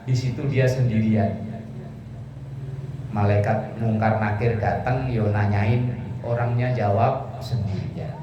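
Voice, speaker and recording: Echoey male preacher, unhurried delivery. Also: lowish voice, fairly even intonation, lo-fi sound, noisy background. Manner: conversational, joking, explains phrase by phrase with colloquial asides. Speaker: Islamic preacher. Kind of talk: informal sermon explaining Quran verses. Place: echoey room with a listening congregation. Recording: echoey hall, distant mic, audience murmur.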